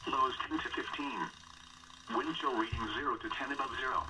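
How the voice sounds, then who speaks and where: A man's voice: medium pitch, brisk, pushed and bright. A male weather announcer reading a scripted forecast, heard through a radio scanner speaker. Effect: played through a radio scanner speaker. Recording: distant radio speaker, faint noise.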